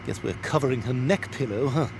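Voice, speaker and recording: An actor voicing an adult male talking dog in an animated sitcom, with a dry, wry delivery. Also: mid-range voice, fairly quick, noisy background, lightly acted.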